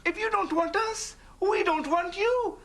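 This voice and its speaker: A man's voice: very high-pitched, unhurried, background noise. A young man playing an earnest, naive and polite helper in a sitcom. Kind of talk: sitcom acting.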